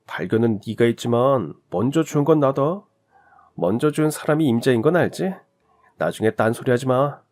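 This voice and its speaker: A man voicing a stingy young army lieutenant who lays down his claim in a brisk, bossy way. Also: medium pitch, expressive, a little mellow, natural voice. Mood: smug.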